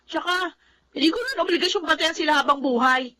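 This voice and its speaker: A woman's voice: high-pitched, lively intonation, a little fast, sharp tone. An actress playing a headstrong, lovestruck young woman in an emotive, flippant radio drama style. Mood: defiant. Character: headstrong, lovestruck young woman, defiant toward her family. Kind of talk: Filipino radio drama acting.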